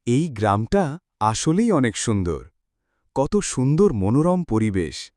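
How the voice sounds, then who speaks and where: Curious young cartoon hero, voiced by a young man, lively intonation, dry booth. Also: mid-range voice, takes it slowly, no echo.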